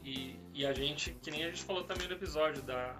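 A man's voice: on the high side, a little fast. A Brazilian man co-hosting a podcast, discussing religion casually in an informal, slangy way, repeating phrases for emphasis. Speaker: podcast co-host. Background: music under the voice.

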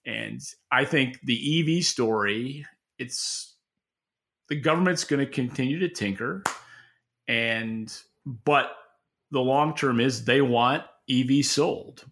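A man's voice: mid-range voice, slow, a bit bright. A man speaking as a podcast co-host, giving casual, opinionated market commentary in an emphatic way. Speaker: podcast co-host and industry analyst.

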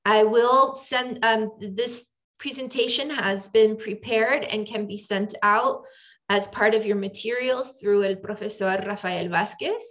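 Slow-paced bilingual woman attorney. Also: medium pitch, a bit bright. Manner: switches between English and Spanish, many ums. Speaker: immigration attorney and presenter. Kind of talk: bilingual online seminar presentation. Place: home video call. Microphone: video call microphone.

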